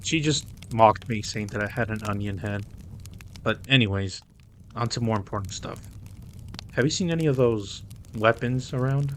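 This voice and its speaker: A man's voice: lowish voice, moderate pace, a little hiss or hum, natural voice. A man playing a protective, easygoing mafia boss with a wry streak in an audio roleplay. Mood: matter-of-fact.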